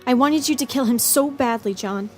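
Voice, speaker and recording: A woman's voice: high-pitched, normal speed, a little mellow, faint music behind, lightly acted. An actress playing an anguished, remorseful woman turned killer in a motion comic, with a confessional, pleading delivery. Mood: bitter, confessing. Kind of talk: dramatic motion comic acting.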